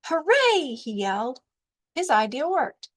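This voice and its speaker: A woman reading a children's storybook aloud as a librarian storyteller, with deliberate, expressive narration. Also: on the high side.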